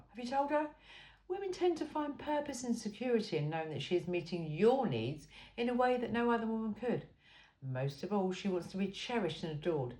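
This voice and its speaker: A woman's voice: lowish voice, darkish tone. A woman reading an informal self-help book aloud in an emphatic, cheeky and frank way. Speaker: amateur reader of a book aloud.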